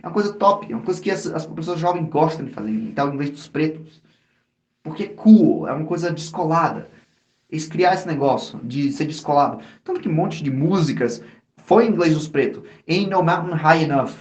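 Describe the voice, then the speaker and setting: A man's voice: a little high, animated, fairly quick, darkish tone. A Brazilian man with a Brazilian Portuguese accent, co-hosting a podcast in casual, slangy chat with many restarts. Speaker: podcast co-host. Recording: echoey room.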